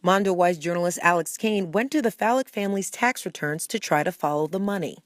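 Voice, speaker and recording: A woman's voice: on the low side, fairly quick, no echo, clean studio sound. A woman reporting in scripted news narration. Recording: music under the voice. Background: background music.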